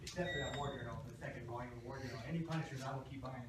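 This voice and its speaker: A man's voice: mid-range voice, very fast. A man chatting casually, like a customer at a counter. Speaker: customer, comic collector. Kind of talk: casual chat. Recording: very echoey, distant mic, loud noise.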